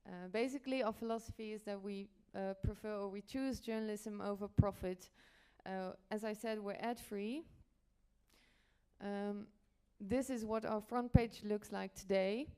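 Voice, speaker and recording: A woman from the Netherlands speaks as an editor presenting on a conference panel. Her voice is smooth and clear, at a medium pitch. She explains in a halting way, pausing and rewording as she goes. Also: fairly even intonation, mellow.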